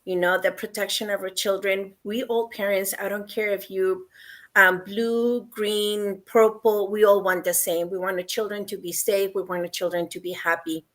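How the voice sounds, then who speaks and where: A woman's voice: medium pitch, flat delivery, moderate pace. Earnest Mexican-accented woman. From Mexico, El Bajío region. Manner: earnest and emotional, with frequent ums and repeated phrases. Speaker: parent of a transgender son, panel guest. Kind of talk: heartfelt panel discussion.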